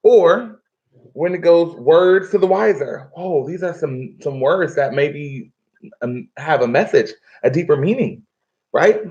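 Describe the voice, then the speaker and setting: A male schoolteacher with a somewhat high-pitched voice leads an online reading lesson from his living room. He explains things step by step and keeps checking that pupils follow. The sound is slightly dull and lo-fi, as on a home livestream. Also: lively intonation, measured pace, a little mellow. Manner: instructive, checks in often with "right?" and "okay?".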